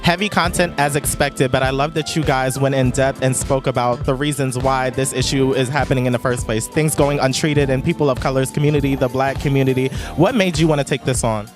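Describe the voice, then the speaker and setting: A man's voice: medium pitch, steady tone, fairly quick, hardly any pauses, music in the background. Upbeat man interviewing on a red carpet. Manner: upbeat, effusive introductions.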